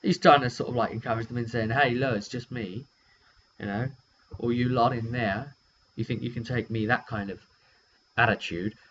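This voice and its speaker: A British man with a medium-pitched voice tells a game story in a loose, chatty way. He hesitates and rambles as he goes, unscripted. The recording is lo-fi and dull, with a light hum underneath. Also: steady tone, moderate pace, a bit bright. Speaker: game master and vidcast host. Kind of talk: casual session recap, unscripted.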